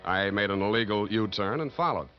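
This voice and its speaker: An American actor playing a wry, cynical private detective who narrates his case in deadpan 1940s radio style. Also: fairly low pitch, a little fast, natural voice. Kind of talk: 1940s radio detective acting, scripted first-person narration. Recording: dull old 1940s radio recording, loud music.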